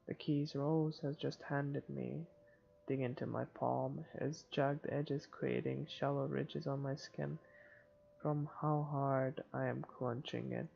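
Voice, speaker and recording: A man's voice: mid-range voice, level tone, slow pace, creaky, slight background noise. A man reading visual novel narration aloud for a playthrough, on a dull lo-fi mic. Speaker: let's player reading game narration. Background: faint game music.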